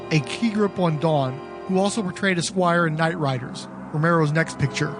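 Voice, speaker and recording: A man with a slightly high voice reads scripted documentary narration as a podcast host. Music plays clearly behind him.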